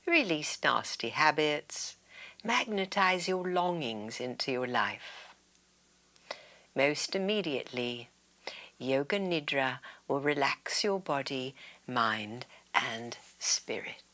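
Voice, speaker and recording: A woman with a low voice guides a meditation at an unhurried pace. Her intonation rises and falls widely, and her phrases often trail into a soothing, breathy whisper. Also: animated, sharp tone. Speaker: yoga nidra meditation guide.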